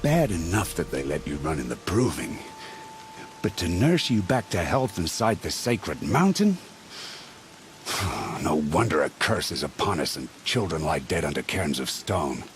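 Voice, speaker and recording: A man's voice: low-pitched, big pitch swings, unhurried, slight background noise. A man acting a domineering middle-aged war chief in a video game, contemptuous and commanding. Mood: scornful.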